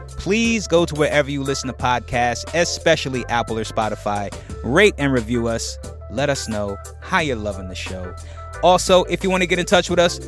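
A man hosting a radio podcast, with an easygoing, jokey manner. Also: medium pitch. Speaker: podcast host. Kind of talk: casual radio chat.

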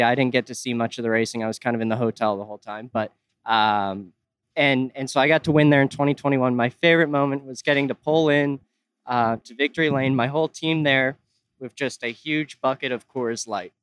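A man with a smooth, clear voice at a middle pitch tells a story in a relaxed way, scattering fillers as he goes. The sound is dry and close, with little room in it. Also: dry recording. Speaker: race car driver, interview guest.

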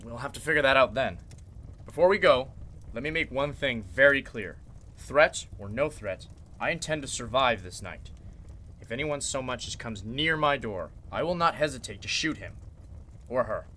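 An actor playing a commanding, steely lawyer who takes charge in a radio mystery, firm and authoritative. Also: male voice, mid-range voice, animated, moderate pace, pushed and bright, a little hiss or hum. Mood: firm, threatening. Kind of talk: radio mystery drama in Golden Age manner.

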